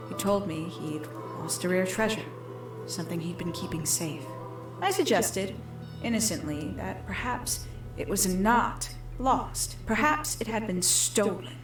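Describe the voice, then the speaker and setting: A woman playing a calculating young villain in a video game, confessing her schemes in measured, deliberate, theatrical storytelling. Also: medium pitch, natural voice. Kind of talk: scripted video game character monologue. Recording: echoey, with music behind.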